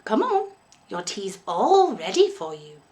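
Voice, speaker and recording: A woman's voice: a little high, wildly swooping pitch, a little slow, darkish tone. A woman reading a storybook aloud, voicing a dainty older landlady who is sweet, fussy and eerily doting, with a gushing, genteel, cooing manner. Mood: cosy, insistent.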